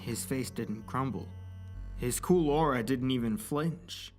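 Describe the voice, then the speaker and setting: A man's voice: medium pitch, slow pace, faint music behind. A man reading scripted, dramatic story narration as the narrator of an audio drama.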